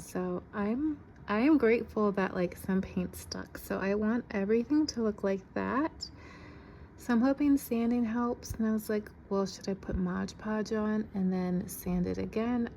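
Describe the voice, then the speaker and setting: A woman's voice: mid-range voice, vocal fry, dark tone, slight background noise. An American woman chatting casually to camera as a craft vlogger, informal and thinking aloud as she goes.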